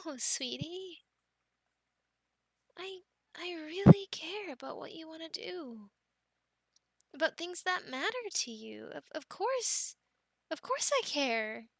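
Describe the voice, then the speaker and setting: A young woman voicing an affectionate, teasing girlfriend in an ASMR roleplay, speaking softly, giggling and dropping into whispers, close to the mic. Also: extremely high pitch, expressive, slow, pauses often, no room sound, full character voice, poor audio. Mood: reassuring. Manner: soft and giggly, drifting into whispers.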